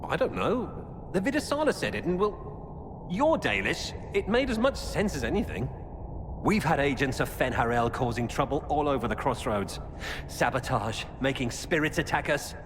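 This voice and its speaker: A desperate fallen knight in a fantasy video game. His voice swings widely as he pleads with urgency. It rings in long reverb with clear noise around him. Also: a little high, very animated, normal speed. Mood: uncertain, weary. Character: desperate male former knight turned defector. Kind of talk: video game dialogue acting.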